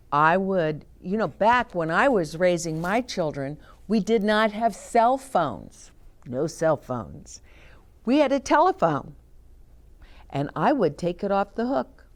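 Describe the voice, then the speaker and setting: An older woman reading aloud to children and adding chatty asides, gently explaining things to her young listeners. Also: lowish voice.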